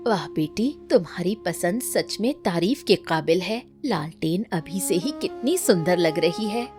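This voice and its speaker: A woman playing a gentle, affectionate village family woman in a Hindi cartoon story, tender and appreciative. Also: on the low side, lively intonation, measured pace, over music.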